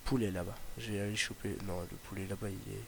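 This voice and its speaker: A man's voice: lowish voice, fairly even intonation, fast talker, vocal fry. A young man with a slight Ardèche accent, chatting casually over his gameplay and rambling with many fillers and restarts. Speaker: gaming YouTuber. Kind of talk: casual Let's Play commentary. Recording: noisy home mic recording.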